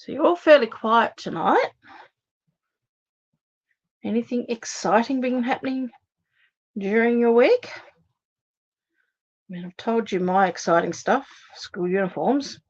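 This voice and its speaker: A woman's voice: a little high, expressive, slow, long pauses, highs cut off. Chatty Australian woman demonstrating crafts. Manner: chatty, thinks aloud, talks to viewers while working. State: slightly losing her voice. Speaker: card-making demonstrator hosting a live stream. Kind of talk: casual live crafting demonstration.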